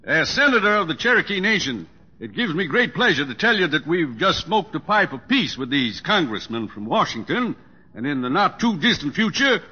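A man's voice: a little high, big pitch swings, normal speed, sharp tone, slightly rough, heavily acted. An actor playing a jovial, folksy older father and senator, speechifying in old radio drama style. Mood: proud, oratorical. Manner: folksy, oratorical. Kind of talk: 1940s radio acting. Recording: muffled old radio broadcast, crowd behind.

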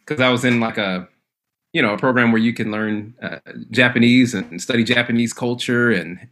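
An easygoing man from Michigan talks casually as an interview guest. His voice is bright and forward at a middling pitch, and he rambles and restarts his sentences as he goes. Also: fairly even intonation, normal speed. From Lansing, Michigan, USA. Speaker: guest, a cappella artist. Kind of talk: casual conversation.

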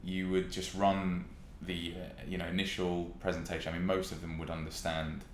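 Deep young southern English man, roomy sound. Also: completely flat delivery, moderate pace. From West Sussex, England. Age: young adult. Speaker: podcast guest. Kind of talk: casual chat, telling own experience. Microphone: podcast microphone. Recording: roomy echo with faint noise.

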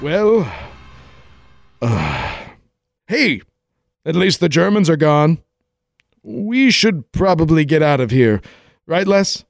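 An actor playing a hesitant but sensible adventurer sidekick, in old radio serial style. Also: male voice, on the high side, sing-song, slow pace, gravelly, pauses often, no echo, faint music behind, exaggerated voice. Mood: hesitant, urging. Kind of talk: parody 1940s radio serial acting.

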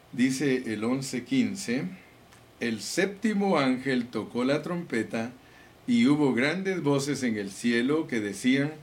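A man preaching a sermon with fervor, calling his listeners brothers and reading scripture aloud. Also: mid-range voice. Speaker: pastor.

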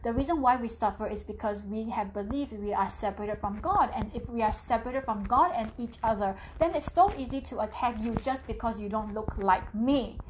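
A woman's voice: a little high, brisk, hardly any pauses, a little hiss or hum, rough recording. A woman from Singapore, hosting a casual spiritual live talk and chatting through viewer comments. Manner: chatty, reads viewer comments aloud, tags "right?". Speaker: live stream host.

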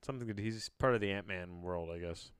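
A man with a fairly low, clean voice, recorded close and dry. He reads aloud like a casual podcast host, breaking off to wander into bemused asides and interrupt himself. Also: brisk, smooth, no echo. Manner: rambling, self-interrupting, bemused; casual swearing. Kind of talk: casual solo podcast reading with rambling asides.

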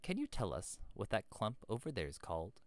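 Casting: adult male reader as polite, inquisitive schoolboy, raised voice pitch, eager storybook dialogue. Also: huge pitch swings, fairly quick, dry recording. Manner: courteous, eager questioning. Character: polite, curious well-bred schoolboy. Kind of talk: storybook character voice.

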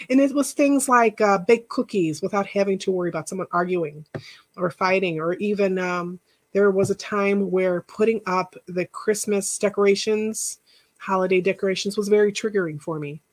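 Hoarse woman hosting a live chat. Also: on the low side, moderate pace. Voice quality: stuffy nose. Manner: rambling, encouraging, thanks viewers by name. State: losing her voice. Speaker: podcast host. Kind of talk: casual live-stream chat with viewers.

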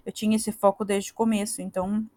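A woman's voice: mid-range voice, little pitch movement, fast, darkish tone. A Brazilian woman in her thirties, a podcast guest talking casually, with many fillers and restarts. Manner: chatty, frequent fillers and restarts. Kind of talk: casual interview conversation.

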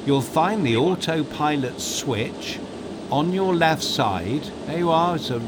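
A man's voice: a little high, slow. A man reading scripted narration as a host, giving step-by-step instructions straight to the listener as if guiding them through a flight. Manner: instructive, addresses listener directly. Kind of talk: scripted second-person guided flight narration. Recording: steady aircraft cabin noise behind. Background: aircraft ambience and engine hum.

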